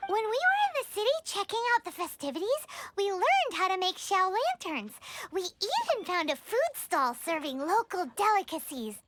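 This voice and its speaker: A woman voicing a tiny, childlike fairy companion in a video game, squeaky, bubbly and exclamatory, eager and fond of food. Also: big pitch swings, normal speed, hardly any pauses, very noisy. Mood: musing, eager. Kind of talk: animated video game dialogue. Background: music.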